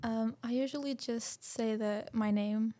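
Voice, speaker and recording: A woman's voice: on the high side, fairly even intonation, unhurried, warm tone. A woman guest and university student, answering shyly and briefly in casual chat.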